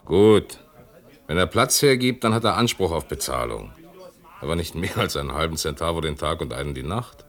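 An actor in a German radio play playing a blunt, worldly labourer who is assertive, wry and protective. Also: male voice, sits low, expressive, normal speed, a bit bright. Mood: decisive.

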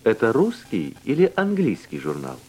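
A man reading language-course dialogues and word drills with slow, deliberate, carefully enunciated speech. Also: mid-range voice, huge pitch swings, darkish tone. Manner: clear, deliberate articulation in short answers.

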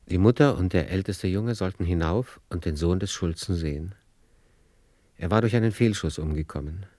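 Male literary narrator for radio drama: low voice, measured and grave delivery, close and dry sound. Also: mellow, dry recording.

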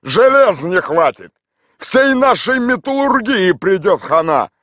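A man's voice: mid-range voice, sing-song, measured pace, no room sound, studio quality, voice pushed up. A man doing a rowdy hard-drinking villager.

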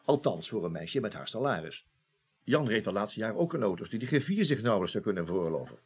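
A man's voice: on the low side, very animated, fast talker, husky. Flustered, defensive security man. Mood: eager to tell. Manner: flustered, stammering, evasive. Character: cornered, defensive security man bargaining under interrogation. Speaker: actor in a radio drama. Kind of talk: Dutch radio crime drama acting.

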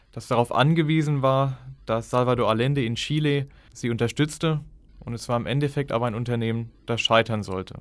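A man's voice: medium pitch, no echo. A man giving analytical expert commentary for a documentary, building long explanatory clauses.

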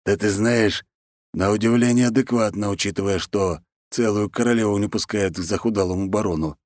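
A man voicing a sly, calm wolf servant with a dry teasing manner in an audiobook. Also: on the low side, brisk, dry recording, close to an everyday voice. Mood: wry. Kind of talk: audiobook character voice.

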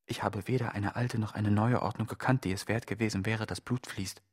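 A man's voice: fairly low pitch, steady tone, fairly quick, darkish tone, lightly acted. An actor playing an accused man in a radio play, insistently and rhetorically pleading his total innocence. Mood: reflective, defensive. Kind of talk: theatrical radio play monologue, litany-like.

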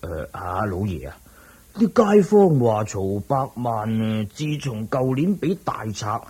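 Eager servant, raspy and swooping. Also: male voice, on the low side, takes it slowly, heavily acted. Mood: gossipy. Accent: Hong Kong Cantonese. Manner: deferential, hesitant, excitable. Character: humble, eager male servant reporting to his master. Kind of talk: radio drama acting.

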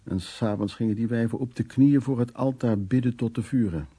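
An actor playing a cynical, worldly man who recounts his past in a wry, coarse radio drama monologue, with a raspy voice. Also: mid-range voice, brisk, mellow, close to an everyday voice. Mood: wry, reminiscing.

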